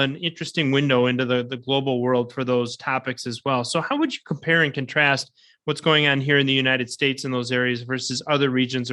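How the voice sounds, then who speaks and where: A man's voice: medium pitch, pushed and bright. A man from Southeastern Wisconsin hosting a podcast, asking long, reflective questions. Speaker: podcast host.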